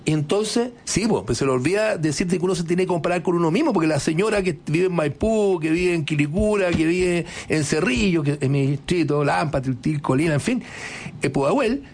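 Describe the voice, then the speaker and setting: A man's voice: a little high, animated, a little fast, darkish tone. A man with a Chilean accent, a congressman guest arguing emphatically on a political talk show, with frequent restarts. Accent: Chilean Spanish. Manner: emphatic, interjecting, many restarts.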